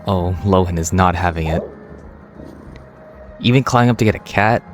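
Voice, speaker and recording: A man plays an easygoing young male adventurer in a fantasy game. His voice is fairly low, delivered in a casual, wry, understated way with faint music behind it. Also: normal speed, natural voice. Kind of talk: dubbed game dialogue.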